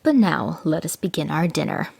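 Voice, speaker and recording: A woman voicing a savage, hungry tiger who is impatient and quick to rage, with a gruff, commanding delivery. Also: low-pitched, big pitch swings, darkish tone, heavily acted.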